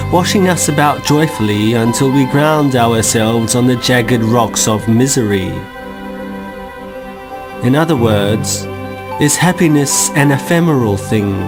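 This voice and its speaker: Man narrating an audio novel, wry and measured, music playing under him. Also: mid-range voice. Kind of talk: scripted narration.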